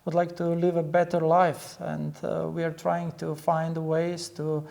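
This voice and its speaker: Gravelly, even-toned male pastor. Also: a little high, measured pace. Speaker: pastor, interview guest. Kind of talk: earnest interview answers.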